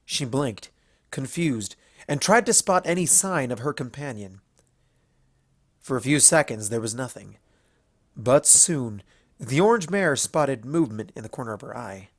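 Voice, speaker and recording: Guy narrating a fanfic, mid pitched voice, expressive storytelling, really dry close sound. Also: a little slow, no echo. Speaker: fan fiction narrator.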